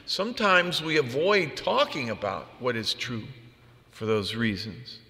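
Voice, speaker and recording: A man's voice: mid-range voice, a little slow, sharp tone. A man preaching a homily as a priest, in a conversational, plain-spoken manner. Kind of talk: homily with personal anecdotes. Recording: long reverb of a large room.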